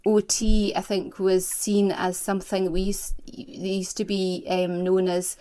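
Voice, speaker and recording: A woman interviewing an older woman over a phone call, gently and hesitantly with many fillers. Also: medium pitch, little pitch movement, measured pace. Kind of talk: oral history interview.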